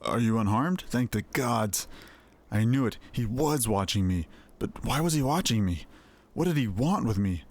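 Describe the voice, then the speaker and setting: A man voicing a troubled, earnest fantasy game character, reading the dialogue in a deliberate way. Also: medium pitch, lively intonation, natural voice. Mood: shaken, anxious.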